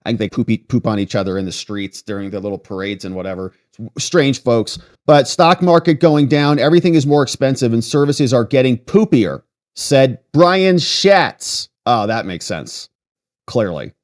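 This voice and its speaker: Sarcastic American man with animated, swinging pitch. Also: normal speed. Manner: sarcastic, mocking asides. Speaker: political commentary host. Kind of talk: livestream political commentary, reading articles aloud with asides.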